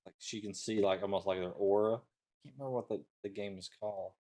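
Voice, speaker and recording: A man's voice: on the low side, fairly even intonation, fast, dark tone. A middle-aged man co-hosting a casual talk show, thinking aloud in a tentative way. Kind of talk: casual chat.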